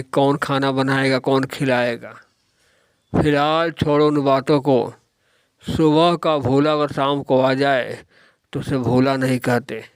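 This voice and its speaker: A man's voice: a little high, clear, resonant tone, dry recording. A man voicing a stern, worldly-wise older father in a moral-story cartoon, lecturing and admonishing.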